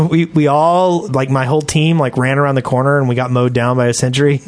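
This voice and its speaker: A man hosting a gaming podcast, telling stories casually in an animated, enthusiastic way with slangy phrasing. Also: mid-range voice, normal speed. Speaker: podcast host.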